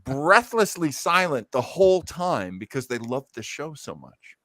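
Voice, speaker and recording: Lively bright-voiced man chatting as podcast host. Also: fairly high pitch, unhurried, no echo, clean studio sound. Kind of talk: casual chat about old radio and films.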